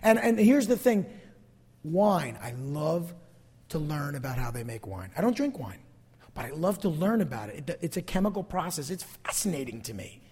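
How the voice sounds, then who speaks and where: A man's voice: on the high side, big pitch swings, normal speed, warm tone, breathy edge. A man preaching a sermon as a pastor, emphatic yet conversational, repeating phrases for emphasis. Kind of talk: sermon to a congregation, closing in prayer. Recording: long hall reverb.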